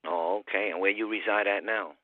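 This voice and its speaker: A man's voice: lowish voice, fairly even intonation, a little fast, no room sound, phone-quality sound. A man hosting a casual interview in laid-back, slangy street talk. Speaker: interviewer, channel host.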